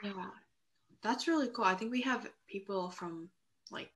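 A woman's voice: medium pitch, a little slow, a little hiss or hum. A young woman speaking casually as part of a student group discussion.

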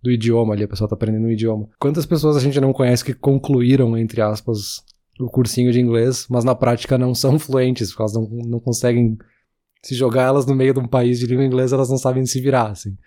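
A man, co-hosting a podcast in relaxed casual chat, full of fillers. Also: medium pitch, quick pace. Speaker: podcast co-host.

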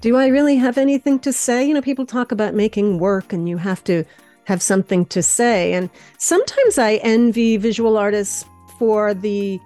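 A woman's voice: medium pitch, moderate pace, mellow, dry recording, soft background music. A woman speaking as a guest in casual conversation, reflective and hesitant, often questioning herself as she goes. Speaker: guest, dance maker and teacher.

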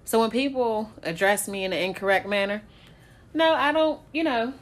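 A woman's voice: fairly high pitch, animated, moderate pace. A woman talking candidly in a casual chat, blunt and swearing freely, often breaking into laughter.

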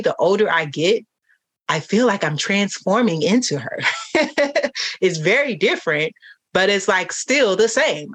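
Reflective low-voiced woman, dry sound. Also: fairly bright tone, dry recording. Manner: reflective, many "you know" and "like" fillers. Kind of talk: casual faith-based chat.

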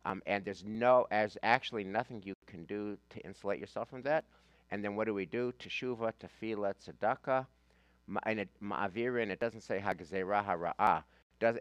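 A man's voice: fairly low pitch, fairly bright tone, no room sound. A man leading a study class as a rabbi, debating emphatically and repeating phrases for stress.

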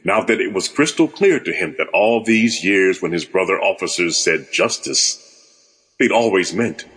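An actor playing a solemn, portentous serial narrator in a radio drama, with heavy reverb. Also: male voice, deep voice, animated, moderate pace, fairly bright tone. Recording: long hall reverb.

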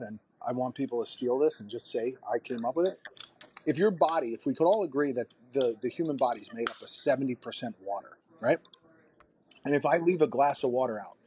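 A man in his forties, a fitness coach hosting a podcast, talking in an energetic, profane, rambling way. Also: mid-range voice, slightly rough. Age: 40s. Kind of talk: casual podcast conversation.

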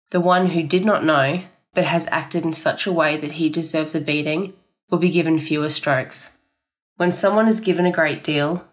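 A woman reading scripture aloud in a solemn, measured and formal way. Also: sits low. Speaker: scripture reader.

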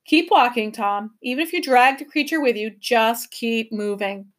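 A woman voicing a polite, steady older professor who keeps the children calm, in a storybook reading. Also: fairly high pitch, moderate pace, lightly acted. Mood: encouraging. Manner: courteous, encouraging, steady.

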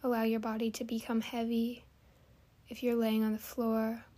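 A woman hosting a guided meditation, giving gentle, soothing instructions with long pauses. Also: a little high, monotone.

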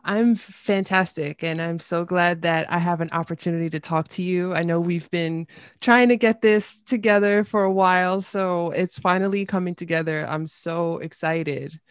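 A woman speaks as a podcast host in a conversational interview style. Her voice sits on the low side. The sound is dry, with very little room in it. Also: fairly even intonation, moderate pace, clean tone, dry recording.

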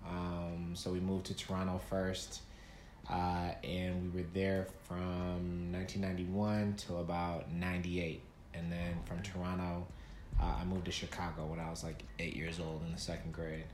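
A man in his thirties from Nigeria, a guest talking casually about his life, relaxed, with many fillers and restarts. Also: sits low, moderate pace. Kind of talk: casual interview storytelling. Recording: echoey room with faint noise.

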